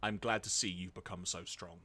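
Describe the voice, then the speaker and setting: A man voicing a stern, stoic cartoon father, gravely injured and speaking in a measured, solemn way. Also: low voice, fairly even intonation, sharp tone, pitched below the performer's own voice, exaggerated voice.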